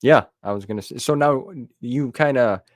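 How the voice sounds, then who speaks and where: A man's voice: medium pitch, normal speed, dry recording. A man hosting an interview in a casual, conversational style, informal and affirming as he asks his questions. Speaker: podcast interviewer, host.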